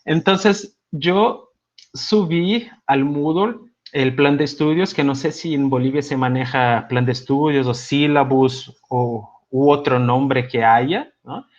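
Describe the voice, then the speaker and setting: A middle-aged man, not a native speaker, teaches an online seminar in Spanish with a Mexico City accent and a touch of Buenos Aires. His voice sits at an ordinary middle pitch, and he talks in a relaxed, conversational way, pausing on fillers and often tagging a question onto the end of a thought. The sound comes over a video call and is a little dull and lo-fi. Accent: Mexico City Spanish with some Buenos Aires Argentine. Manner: relaxed and conversational, many hesitations and tag questions. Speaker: seminar instructor. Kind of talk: live online lecture.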